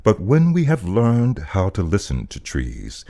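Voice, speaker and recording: A man with a deep voice reads literary prose as a trained narrator. His delivery is slow and solemn, with deliberate phrasing and theatrical emphasis that rises and falls widely in pitch. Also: wildly swooping pitch, vocal fry, warm tone. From United States. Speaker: voice-over artist. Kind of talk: dramatic poetic literary reading.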